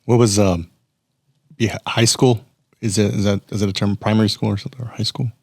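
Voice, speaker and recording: A man hosting a podcast interview, asking casual, halting questions. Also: on the low side, little pitch movement, dry recording, clean studio sound. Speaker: podcast host.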